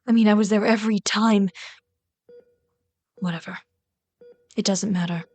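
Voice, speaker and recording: A woman's voice: mid-range voice, fairly even intonation, moderate pace, close to an everyday voice. A woman playing a bitter but caring villain queen in an ASMR roleplay, scolding and sardonic, often exasperated. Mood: bitter, scornful. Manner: scolding, sardonic, exasperated, dropping to hushed asides. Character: bitter, caring female villain rat queen tending a captive sidekick. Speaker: voice actor in an audio roleplay.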